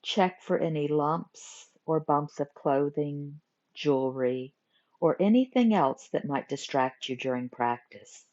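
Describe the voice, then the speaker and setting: A woman teaching guided meditation, giving gentle instructions in short phrases with pauses between them. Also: low voice. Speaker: yoga nidra meditation teacher. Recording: muffled lo-fi recording.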